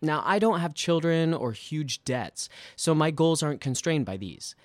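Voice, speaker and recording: A man who works as a stage actor reads his own scripted personal essay with polished, deliberate delivery. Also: fairly high pitch, animated, no room sound. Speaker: musical theatre actor.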